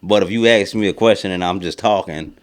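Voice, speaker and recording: A young man co-hosting a podcast, telling stories in a relaxed, rambling way. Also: low voice, brisk. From rural American South. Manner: laid-back, rambling storytelling with a mild stutter. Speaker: podcast co-host. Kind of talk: casual podcast chat.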